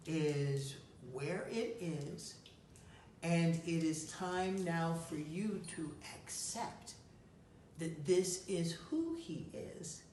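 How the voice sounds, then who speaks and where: A woman giving advice as a coach and host, speaking in a deliberate, emphatic way to answer a listener. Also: lowish voice, darkish tone. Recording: roomy echo, distant mic, faint noise.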